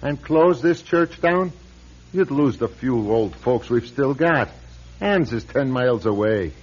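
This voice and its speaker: An actor playing a blunt, weary old priest in a radio drama, husky and sardonic, on a muffled recording. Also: male voice, medium pitch, lively intonation, measured pace. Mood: exasperated. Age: older. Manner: gruff, sardonic, plain-spoken. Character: blunt, weary, grumbling old Irish-American parish priest.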